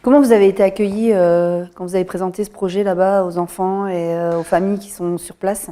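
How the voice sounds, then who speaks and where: A woman presenting local TV news in a studio, asking an interview guest brisk prepared questions. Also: mid-range voice, fairly even intonation, dark tone. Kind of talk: TV interview hosting.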